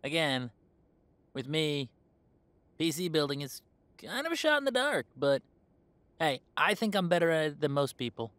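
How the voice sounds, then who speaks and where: A man's voice: on the high side, big pitch swings, normal speed, long pauses, fairly bright tone, no room sound. A man playing an easygoing, confident young werewolf in a roleplay audio drama, talking in a chatty, hesitant, conversational way. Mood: amused, self-assured. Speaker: voice actor.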